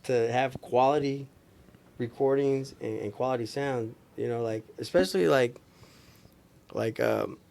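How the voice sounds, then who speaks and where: A man's voice: mid-range voice, measured pace, vocal fry, a little mellow. An American man chatting casually as a podcast guest, with slangy, emphatic talk. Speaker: DJ and recording engineer, podcast guest. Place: small room with couches, indoors.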